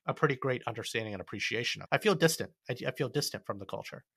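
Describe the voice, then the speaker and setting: A man's voice: mid-range voice, lively intonation, fast talker, a bit bright, husky, dry recording, studio quality. A man with an American accent talking casually as a podcast co-host, telling stories in a joking, conversational way. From United States.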